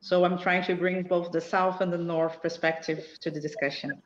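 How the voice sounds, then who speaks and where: A woman's voice: lowish voice, little pitch movement, normal speed, slight background noise. A woman from Brazil introducing herself politely and composedly as a panel guest on an online call. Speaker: panel guest, advocacy officer. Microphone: video call. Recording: echoey, dull online call.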